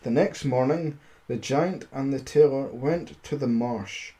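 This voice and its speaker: A man streaming amateur storytelling, halting as he reads, with casual, self-deprecating asides. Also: medium pitch, warm tone. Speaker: streamer reading stories aloud.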